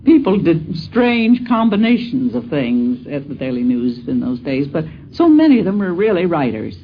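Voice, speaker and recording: A woman with a husky voice reminiscing conversationally about former workmates. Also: low-pitched, sing-song, moderate pace. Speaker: broadcasting professional reminiscing. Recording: dull 1950s radio recording.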